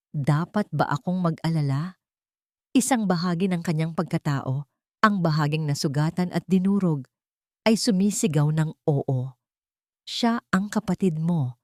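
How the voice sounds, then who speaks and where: A woman storyteller delivering scripted dramatic narration in a solemn, measured manner. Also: on the low side, a little mellow, dry recording, clean studio sound.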